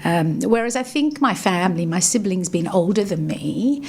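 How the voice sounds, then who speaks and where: A middle-aged woman from Yemen with a refined London accent, a guest in a radio interview who talks reflectively with many pauses and restarts. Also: on the low side, lively intonation, gravelly, darkish tone, breathy edge. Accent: refined London English. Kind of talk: casual interview conversation. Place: radio studio.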